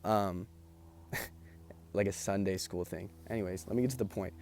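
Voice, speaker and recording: Tired, gravelly young man rambling. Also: low-pitched, moderate pace, a little mellow, slight background noise. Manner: rambling, loose, full of ums and likes. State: tired, losing his voice. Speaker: vlogger. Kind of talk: casual chat.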